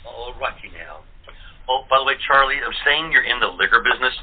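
A man's voice: mid-range voice, brisk. Dry, teasing man on a phone line. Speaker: actor, show guest. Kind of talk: casual bantering chat. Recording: narrow telephone line.

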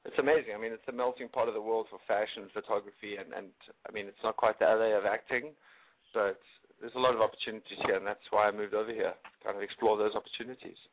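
A man's voice: on the low side, steady tone, fast talker. A man in his late twenties with a South African accent, answering casually as an interview guest. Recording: thin phone-line sound.

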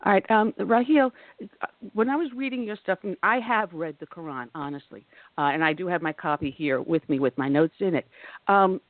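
A woman's voice: fairly low pitch, normal speed, no room sound. A woman hosting a radio talk show, asking probing questions in a conversational way. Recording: tinny phone-like sound.

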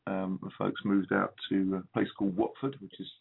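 English male guest, gravelly and husky, hesitant phone chat. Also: low voice, little pitch movement, moderate pace. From England. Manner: hesitant, many ums and restarts. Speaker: guest musician. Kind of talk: phone interview. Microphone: telephone. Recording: tinny phone line.

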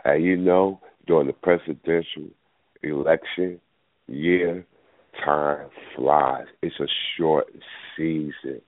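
Deep-voiced male talk radio host, loose and rambling, a bit under the weather, heard over a phone line. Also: steady tone, takes it slowly, no echo. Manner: rambling, thinks aloud with many fillers. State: battling a cold. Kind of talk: casual call-in radio talk. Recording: tinny phone line, dry.

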